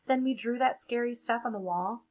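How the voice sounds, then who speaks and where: Woman doing a scared little crayon, high voice, nervous, hesitant, storybook character. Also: moderate pace, a little mellow, natural voice. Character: timid, terrified glow-in-the-dark crayon. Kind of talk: expressive picture-book read-aloud.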